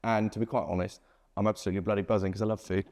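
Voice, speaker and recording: A man hosting an interview, upbeat and enthusiastic, firing off quick questions. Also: on the low side, little pitch movement, darkish tone. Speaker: podcast host. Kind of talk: casual interview.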